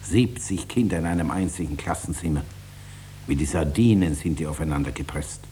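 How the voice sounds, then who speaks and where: Deep-voiced grasping farmer. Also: male voice, brisk, warm tone. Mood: evasive. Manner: plain-spoken, hesitant, defensive. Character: stubborn, money-hungry farmer, uneasy and evasive. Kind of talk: 1950s German radio play acting. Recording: noisy vintage 1950s radio recording.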